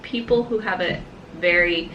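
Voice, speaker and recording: A woman's voice: on the low side, moderate pace, dull audio. A woman with a faint Southern American accent, chatting and teasing on a casual vlog. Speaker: vlogger. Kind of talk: casual chat. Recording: echoey room with faint noise.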